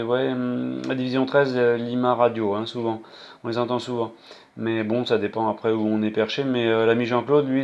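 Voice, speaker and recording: A man with a mid-pitched voice talks casually as a radio hobbyist. The sound is muffled and lo-fi, as from a small handheld transceiver. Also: darkish tone. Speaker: amateur radio operator. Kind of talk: casual two-way radio chat. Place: indoors, apartment. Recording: dull lo-fi handheld radio audio.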